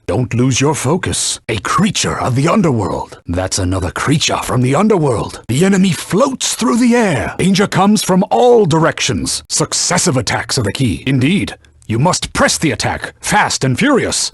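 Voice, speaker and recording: A man's voice: mid-range voice, breathy edge, no room sound, studio quality. A man voicing a haughty magic sword in a video game, mentoring a young knight with pompous, scolding, archaic knightly speech.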